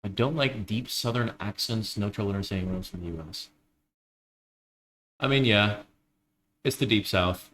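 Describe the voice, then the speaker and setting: A young man doing live game commentary, quick and joking with self-deprecating asides. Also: fairly low pitch, fairly bright tone. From Illinois, United States. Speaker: esports commentator.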